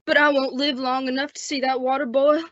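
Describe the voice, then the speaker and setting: A woman's voice: high-pitched, level tone, fairly quick, a bit bright, dry recording, dull audio. A woman playing a badly wounded, dying man in a radio western, weak and in pain. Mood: weak, resigned. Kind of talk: old-time radio western acting.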